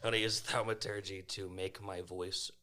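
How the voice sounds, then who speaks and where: A man with a deep voice takes part in a tabletop role-playing game. He speaks in casual chat, slipping between table talk and his character. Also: little pitch movement, normal speed, bright, forceful tone.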